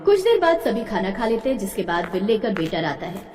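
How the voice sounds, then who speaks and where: Animated female storyteller in heavy echo. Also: mid-range voice, fairly quick, a little hiss or hum. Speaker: narrator. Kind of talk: scripted story narration. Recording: echoey hall-like reverb.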